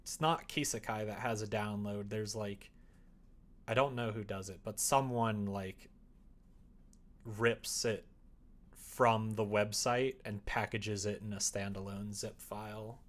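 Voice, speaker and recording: A man with a fairly low voice hosts a casual livestream. He talks to his viewers in a loose, rambling way, thinking out loud as he goes. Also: steady tone, measured pace. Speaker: live streamer. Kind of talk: casual chat with stream viewers.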